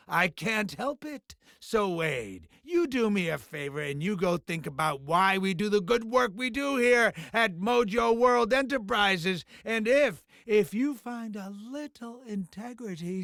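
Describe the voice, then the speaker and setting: An actor playing a sleazy, bloated alien TV producer in a video game, with smarmy, pompous, wheedling showbiz patter. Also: male voice, high-pitched, vocal fry, bright, forceful tone, no echo, studio quality, full character voice. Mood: smarmy, mock-wounded, then preachy, grandiose. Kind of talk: theatrical video game character acting.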